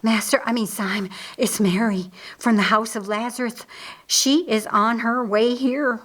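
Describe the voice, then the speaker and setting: A woman's voice: on the high side, steady tone, measured pace, fairly bright tone. A woman playing an anxious, dutiful female household slave, urgent and deferential, in an audiobook.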